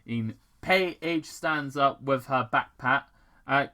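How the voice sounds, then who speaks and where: An amateur reader narrating fan fiction aloud in a halting way, stumbling and repeating words. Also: medium pitch, sharp tone. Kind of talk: amateur story read-aloud.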